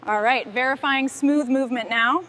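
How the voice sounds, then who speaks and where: A woman's voice: high voice, moderate pace, sharp tone. A woman speaking as a garage mechanic, giving terse procedural call-outs in a businesslike, instructional way.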